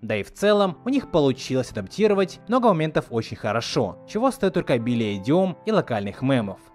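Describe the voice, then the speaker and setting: A man hosts a scripted video essay. His mid-pitched voice moves up and down in a lively way as he narrates, with soft music underneath. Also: fast talker. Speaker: video host.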